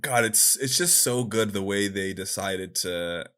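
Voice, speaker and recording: Casual man, lowish voice. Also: a little slow, bright, forceful tone, smooth. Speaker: podcast co-host. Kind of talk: casual podcast chat about video games.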